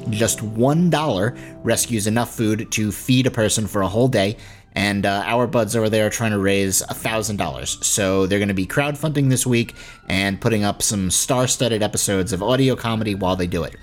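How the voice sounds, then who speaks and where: Low-voiced casual man hosting a podcast. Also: a little fast, faint music behind. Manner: informal, conversational. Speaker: podcast host. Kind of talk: casual announcement to listeners.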